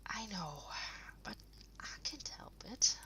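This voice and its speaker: A woman playing an affectionate partner in an intimate audio roleplay, rambling softly and hesitantly to the listener at home. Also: mid-range voice, sing-song, in a whisper, exaggerated voice, rough recording. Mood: gently sheepish. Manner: soft, breathy, hesitant rambling with trailing pauses.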